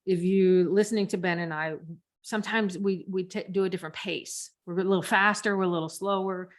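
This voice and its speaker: A woman's voice: medium pitch, steady tone, brisk. A woman co-hosting a podcast, teaching speaking technique in a conversational, demonstrative way. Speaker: podcast co-host and coach.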